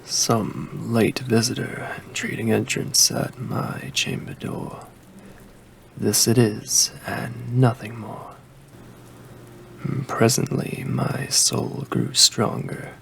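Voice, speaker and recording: A man reading a poem as an ASMR creator, with a deep, gravelly voice and a slow, hushed delivery that slips into whispers. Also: level tone, a little hiss or hum. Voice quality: deep, gravelly voice with heavy fry. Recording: faint rain ambience behind the voice. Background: rain ambience.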